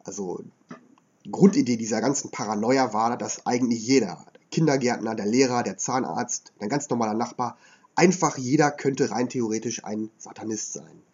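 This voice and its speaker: A man with a slight cold and a hoarse edge, explaining history as a podcast host in a measured lecturing way with frequent filler sounds. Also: mid-range voice, a little mellow, muffled recording.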